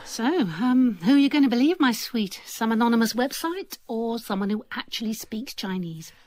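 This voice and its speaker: An actress plays a sharp-tongued woman in a radio drama who needles her partner. She mocks him with a dry tone. Her voice sits fairly high. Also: expressive, normal speed, dry recording, natural voice. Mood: teasing. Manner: teasing, mocking.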